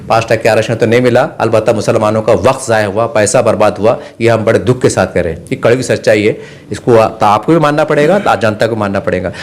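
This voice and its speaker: A man asking long, insistent, discursive questions as a news interviewer. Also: mid-range voice.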